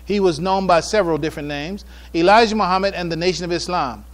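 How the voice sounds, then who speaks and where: An American man delivering a prepared public address on religion and history from notes, with formal, deliberate, emphatic phrasing. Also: high-pitched. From United States. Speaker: speaker giving a public address.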